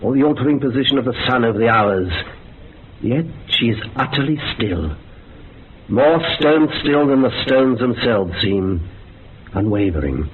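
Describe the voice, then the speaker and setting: A man plays a grave researcher in a radio horror play, measured and literary in phrasing. His intonation moves a lot, and the sound is narrow and phone-like with light hiss. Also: medium pitch, animated, close to an everyday voice. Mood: awed. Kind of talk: radio drama acting.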